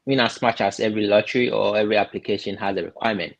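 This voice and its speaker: A man from Ghana giving casual step-by-step advice, often pausing and repeating words as he thinks. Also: on the low side, steady tone, gravelly, slight background noise. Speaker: guest explaining visa steps.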